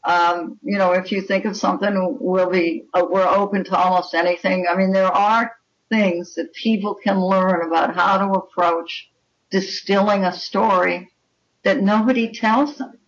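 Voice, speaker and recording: A woman's voice: mid-range voice, steady tone, measured pace. An older woman speaking as an interview guest, giving opinionated, emphatic answers over slightly muffled, distant audio. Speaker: guest writer and anthology editor. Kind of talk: interview conversation.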